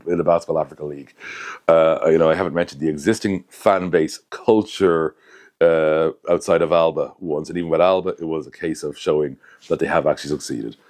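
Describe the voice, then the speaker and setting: Young guy, super deep voice, chatty vlog rambling, keeps correcting himself. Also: darkish tone. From Ireland. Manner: rambling, self-correcting, conversational asides. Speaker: basketball commentator, channel host. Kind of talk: casual analysis to camera.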